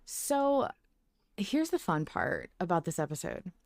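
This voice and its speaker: A woman's voice: fairly low pitch, huge pitch swings, measured pace, gravelly, darkish tone, no room sound. A woman hosting a podcast in a playful, casual way and tossing out questions. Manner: playful, casual, swears lightly. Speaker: podcast host.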